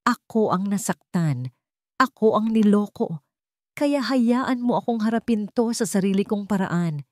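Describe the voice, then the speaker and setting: Hurt, defiant woman, pitched up, narrator acting. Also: animated, unhurried, a little mellow, no room sound, clean studio sound, lightly acted. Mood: hurt defiance. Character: hurt, defiant young woman defending her own choices.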